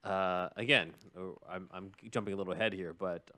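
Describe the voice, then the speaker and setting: A man's voice: low voice, fairly even intonation, brisk, bright, forceful tone. A man hosting a podcast, explaining a film story in casual chat with an analytical, enthusiastic fan's manner and casual asides. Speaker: podcast host.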